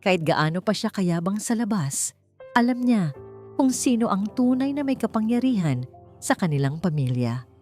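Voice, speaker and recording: A woman's voice: medium pitch, sing-song, normal speed, a little mellow. A woman audiobook narrator reading a scripted dramatic story. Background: background music.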